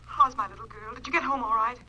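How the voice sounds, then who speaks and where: A woman's voice: a little high, fairly even intonation, fast, sharp tone, dull audio. An actress playing a frightened, secretive mother pleading urgently over a telephone in 1940s radio drama style. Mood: anxious. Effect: telephone filter. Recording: thin telephone voice on old radio recording.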